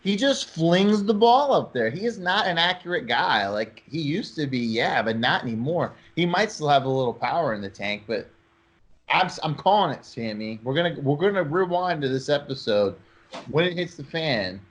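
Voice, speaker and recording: A man's voice: a little high, animated, normal speed, fairly bright tone. A man hosting a sports talk show, giving opinionated takes in an informal, emphatic way.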